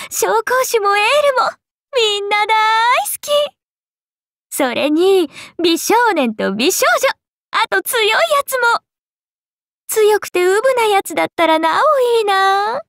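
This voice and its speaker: A woman's voice: a bit bright, no room sound, clean studio sound, heavily acted. A woman voicing a playful, teasing serpent-oni goddess for a mobile game, with breezy big-sister airs and a drawling, sake-loving manner. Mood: bubbly, gleeful. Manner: breezy, drawling, teasing, slipping into low murmurs. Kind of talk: Japanese mobile game character voice lines.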